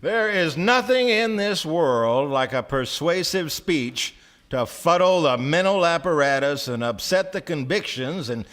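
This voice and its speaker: A man's voice: a little high, lively intonation, unhurried, a bit bright. A man playing a wry storyteller, narrating a small-town satire in a theatrical radio drama. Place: playhouse stage before a live audience.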